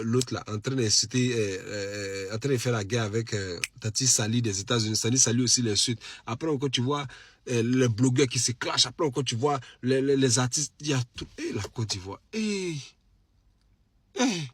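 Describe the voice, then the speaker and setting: A man's voice: medium pitch, moderate pace, slightly rough, no echo. A man with an Ivorian French accent and a head cold, chatting casually and animatedly to followers on a live stream, repeating phrases for emphasis. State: has a cold. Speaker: social media live streamer. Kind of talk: casual late-night live chat to followers.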